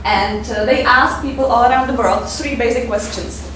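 A woman in her thirties with a Czech accent gives a fundraising presentation, halting with many fillers, recorded from afar in a seminar room. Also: a little high, recorded from a distance, noisy background. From Czech Republic. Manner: hesitant, many fillers, halting non-native English. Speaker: fundraiser giving a conference talk. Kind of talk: presentation talk. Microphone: far camera mic. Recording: echoey room, picked up from afar.

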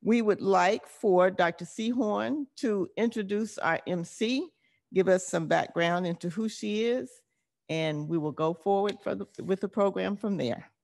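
Woman presenter, medium pitch with lots of vocal fry, formal announcement. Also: measured pace, darkish tone, no room sound. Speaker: event presenter. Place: large lecture room, indoors.

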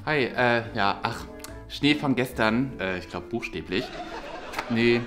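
A young man sharing a flat, laid-back and a little muddled. He talks haltingly in a middling pitch, with faint music behind him, as in a light web drama. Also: a crowd in the background. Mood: relaxed. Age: young adult. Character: easygoing, muddled, hesitant young male flatmate. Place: indoor flat.

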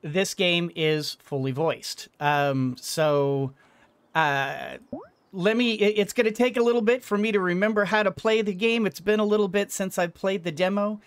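A man's voice: sits high, moderate pace, smooth. A middle-aged man giving casual let's play commentary, thinking aloud and hesitating as he goes. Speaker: gaming video host. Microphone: microphone on arm in front of him. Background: game music.

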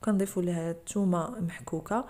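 Woman, Moroccan Arabic accent, cooking tutorial voice-over, lowish voice, mellow. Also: fast. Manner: explains each step plainly, addressing viewers directly. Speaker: cooking channel host.

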